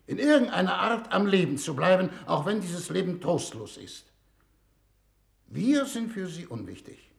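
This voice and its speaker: A man's voice: high-pitched, big pitch swings, normal speed, vocal fry, full character voice. An actor in a German-language 1960s radio drama playing a shrewd, commanding statesman who speaks formally and persuasively. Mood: urging, persuasive. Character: shrewd, smooth-talking male statesman leading a Venus mission.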